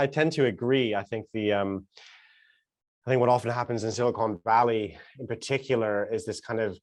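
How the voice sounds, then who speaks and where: A man hosting a casual, reflective discussion, searching for his words as he goes. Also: fairly low pitch. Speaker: host.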